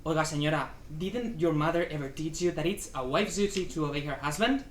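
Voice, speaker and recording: Echoey, low-voiced stubborn Cuban husband played by a woman. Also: a little fast, close to an everyday voice. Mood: angry. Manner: emphatic, sprinkles Spanish endearments and exclamations. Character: stubborn, proud Cuban husband, teasing and domineering. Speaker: student actor. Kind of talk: dramatic reading of a stage play. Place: indoor room, live play reading. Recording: echoey room with faint background noise.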